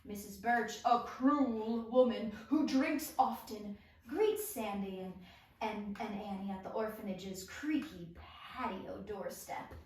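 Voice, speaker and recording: Slow high-voiced woman narrator, very echoey room. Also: a little mellow. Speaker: narrator and table read leader. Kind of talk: reading stage directions and character list aloud. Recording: very echoey room, distant mic.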